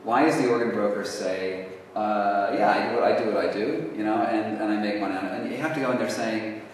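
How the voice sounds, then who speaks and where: Male speaker, low voice, halting Q&A answers, distant mic in reverberant hall. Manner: halting, many restarts, casual. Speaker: guest speaker answering audience questions. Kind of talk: lecture Q&A. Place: university lecture hall. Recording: echoey hall from far off, faint noise.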